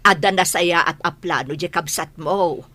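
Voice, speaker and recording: A woman's voice: lowish voice, normal speed, sharp tone, no room sound. A woman playing a middle-aged village mother in an Ilocano radio drama, firm, long-suffering and plaintive. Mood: reproving, earnest.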